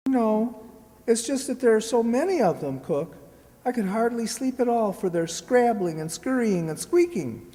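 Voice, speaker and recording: A woman's voice: on the high side, expressive, moderate pace, dark tone, lightly acted. A woman playing a polite, earnest poor boy in an amateur radio play, hesitant and deferential. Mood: polite, pleading. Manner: deferential, hesitant, eager to please. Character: polite, earnest, humble poor boy seeking work in London. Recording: echoey hall, long reverb.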